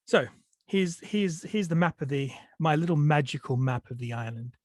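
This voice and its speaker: A man's voice: a little high, animated, normal speed, a little mellow. A man with a head cold, giving a storytelling talk over a video call, with lots of fillers and restarts. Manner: hesitant, many fillers and restarts. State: sniffly with a cold.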